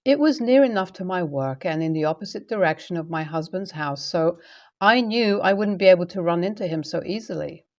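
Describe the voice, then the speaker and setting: Female narrator, slightly low register, scripted first-person storytelling. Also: expressive, fairly quick.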